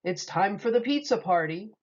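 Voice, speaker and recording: A woman's voice: mid-range voice, lively intonation, moderate pace, close to an everyday voice. A woman voicing a kindly grown man. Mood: gentle. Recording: slightly muffled recording.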